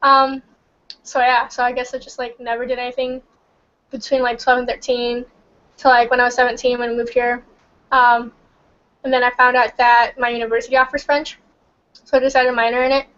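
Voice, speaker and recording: A young woman from Indonesia, whose first language is Indonesian, talks casually in a high voice. She keeps dropping "like" and "um" as she chats. The recording is dull and lo-fi. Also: flat delivery, brisk, long pauses, fairly bright tone. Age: young adult. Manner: chatty, many fillers like "like" and "um". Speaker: study group member. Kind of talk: casual chat.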